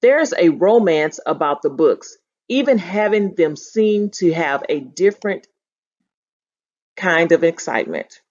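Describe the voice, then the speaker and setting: A woman's voice: fairly low pitch, lively intonation, unhurried, a little mellow. A woman voicing a teasing, affectionate mother in a storybook with a wry, knowing manner, in a dull lo-fi recording. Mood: lightly teasing. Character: teasing, affectionate mother who likes books. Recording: dull lo-fi sound.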